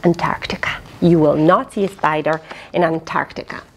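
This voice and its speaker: A middle-aged woman reading aloud to young children, expressive and animated. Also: sits low, normal speed, slight background noise. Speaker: children's storyteller. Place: small fabric play tent indoors.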